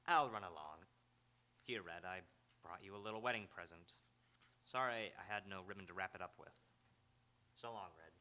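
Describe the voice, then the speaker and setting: Man acting a bright-voiced, low, sardonic ex-husband. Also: normal speed, pauses often, slight background noise. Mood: pointed, earnest. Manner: dry, taunting, emphatic. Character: sardonic, needling, suave ex-husband, reformed drinker. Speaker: stage radio actor. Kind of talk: live radio theatre acting. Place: live on an auditorium stage.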